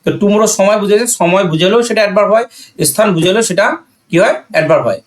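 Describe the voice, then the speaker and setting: Higher-pitched Bangladeshi man teaching. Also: rapid-fire. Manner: didactic, asks and answers own questions, repeats key points. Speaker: English grammar teacher. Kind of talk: classroom-style grammar lesson explanation.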